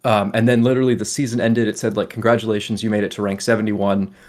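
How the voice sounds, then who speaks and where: A man with a fairly low voice talks casually as a podcast co-host. He explains things at length in an informal, conversational way and swears offhandedly. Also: flat delivery, fast, a little mellow. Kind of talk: casual gaming podcast chat.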